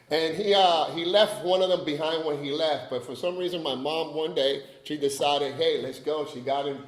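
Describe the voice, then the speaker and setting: A man's voice: fairly high pitch, echoey room. A man from Cuba, giving a personal recovery talk, chatty and joking with the audience in asides. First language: Spanish. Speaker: AA speaker.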